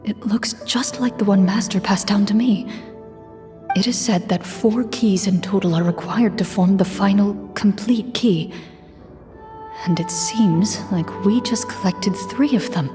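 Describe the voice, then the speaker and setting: A woman voicing a calm, serious young woman hunter who speaks in hushed, measured tones. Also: mid-range voice, over music, natural voice.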